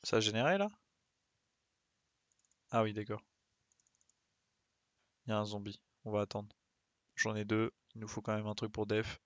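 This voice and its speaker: A man's voice: lowish voice, flat delivery, moderate pace, pauses often, no echo, lo-fi sound. A man doing casual live gameplay commentary, thinking aloud as he plays and often muttering to himself. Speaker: game streamer.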